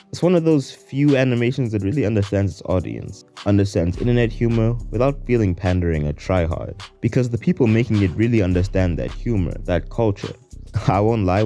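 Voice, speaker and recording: A man's voice: low-pitched, dark tone. A man hosting a video essay, narrating a scripted review in an informal, slangy way. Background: faint music.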